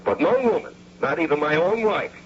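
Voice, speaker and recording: A man's voice: fairly high pitch, expressive, brisk, lightly acted. A man acting in a radio drama as a scheming, bitter husband, insistent and persuasive, repeating himself for emphasis. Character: scheming, bitter, persuasive husband and electrical engineer turned would-be robber. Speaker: actor narrating and playing the lead. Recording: muffled, noisy old radio broadcast.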